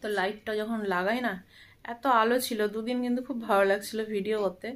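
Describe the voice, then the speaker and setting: Higher-pitched woman chatting casually. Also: steady tone, fast. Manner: conversational, addressing viewers directly. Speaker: family lifestyle vlogger. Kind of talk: casual chatty vlog narration.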